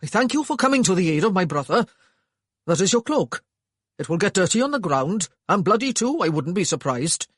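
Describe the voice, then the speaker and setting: Narrator voicing a gruff, loud Welsh young man. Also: mid-range voice, big pitch swings, moderate pace, dry recording, studio quality, pitched above the performer's own voice. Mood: stiff.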